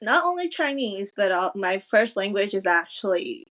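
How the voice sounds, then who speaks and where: A woman with a mid-pitched, everyday voice, co-hosting a podcast. She talks in a measured, thoughtful way, pausing to pick her words as she shares her view. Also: sing-song, fairly bright tone. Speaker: podcast co-host. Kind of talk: casual chat.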